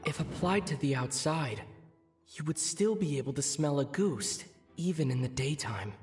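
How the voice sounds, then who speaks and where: An actor playing a calm young male investigator in a video game, reasoning in a methodical, analytical way. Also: fairly high pitch, normal speed, faint music behind, lightly acted.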